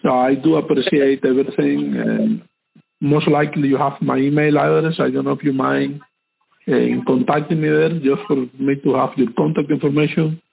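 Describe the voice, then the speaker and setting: A man's voice: mid-range voice, level tone, moderate pace, vocal fry, husky. A man being interviewed who speaks formally and graciously, thanking often. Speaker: interview guest. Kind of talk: interview conversation. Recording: tinny phone-line call.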